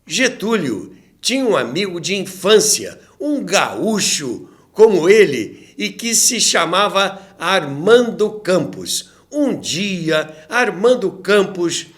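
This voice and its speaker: A man's voice: high voice, very animated, takes it slowly, few pauses. A Brazilian man hosting and narrating radio history as a storyteller, with theatrical rhetorical questions. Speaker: podcast host and narrator.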